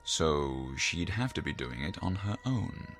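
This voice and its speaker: Deep, gravelly, probing psychiatrist. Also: male voice, lively intonation, fairly bright tone, faint music behind, exaggerated voice. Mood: probing, reasoning. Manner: deliberate, formal, professorial. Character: measured, probing, learned male psychiatrist. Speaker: actor in a radio drama. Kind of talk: audio drama acting.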